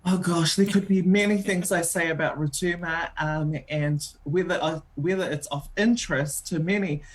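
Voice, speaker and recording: A woman's voice: on the low side, moderate pace. A woman with a New Zealand accent, a radio show host being interviewed on air, talking in a chatty way with many filler ums.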